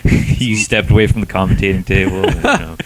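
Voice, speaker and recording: Male co-host, lowish voice, blunt and slangy, conversational delivery for a casual review show. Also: fairly quick, slight background noise. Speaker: podcast co-host. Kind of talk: casual chat, opinionated review.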